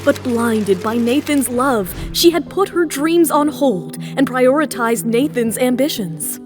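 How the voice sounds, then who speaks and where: A woman's voice: high-pitched, lively intonation, measured pace. A woman reading dramatic scripted narration as the narrator of an audio drama. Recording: music behind the voice.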